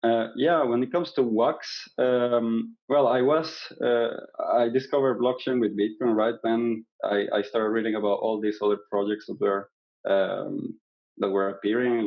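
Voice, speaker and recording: A man from Venezuela, a guest in a casual interview, talking in a hesitant way with many fillers and restarts. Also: on the low side. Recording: dull lo-fi sound.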